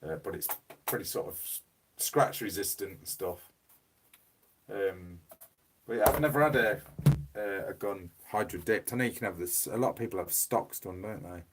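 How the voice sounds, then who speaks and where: Male streamer, fairly low voice, hoarse and fading, loose rambling phone-mic chat. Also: normal speed, noisy background. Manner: rambling, repeats words. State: losing his voice, coughing. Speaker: livestream host. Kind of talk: casual livestream chat reading comments. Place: small home room. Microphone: mobile phone.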